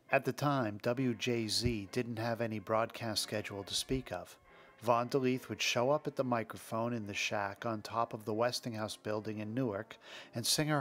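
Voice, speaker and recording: A man's voice: medium pitch, fairly bright tone. Man narrating radio history. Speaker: podcast host and narrator.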